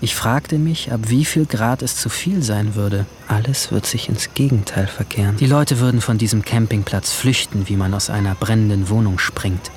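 A man's voice: fairly low pitch, moderate pace, few pauses, a little hiss or hum, lightly acted. A man playing a withdrawn, brooding 17-year-old boy who narrates his summer in a radio drama, introspective and terse. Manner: introspective, terse, awkward in dialogue. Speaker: narrator and main character.

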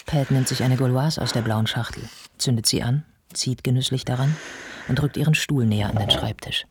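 An actor playing a composed, observant storyteller, narrating a radio drama in a measured way. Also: male voice, medium pitch, steady tone, background noise, natural voice. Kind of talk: scripted radio play narration. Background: music.

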